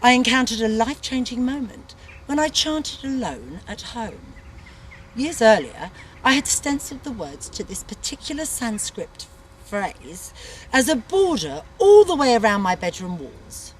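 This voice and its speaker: A woman's voice: fairly high pitch, very animated, unhurried, slight background noise, rough recording. A woman narrating comically in a haughty, dramatic way. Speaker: author reading a book aloud in character.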